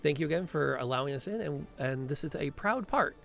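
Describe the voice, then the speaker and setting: A man's voice: fairly high pitch, lively intonation, brisk, vocal fry, fairly bright tone, soft background music. A man hosting a podcast and live stream, chatting in a rambling, upbeat way with lots of fillers and restarts. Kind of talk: casual chat on a live stream.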